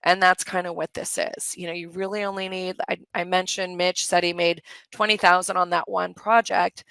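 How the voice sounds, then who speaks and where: Chatty hoarse-ish woman hosting a live webinar, bright gravelly voice, dry close sound. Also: on the low side, flat delivery, moderate pace, no room sound. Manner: chatty, enthusiastic, thanks the chat often. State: slightly hoarse. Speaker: course host on a live webinar. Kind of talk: live webinar sales pitch and Q&A.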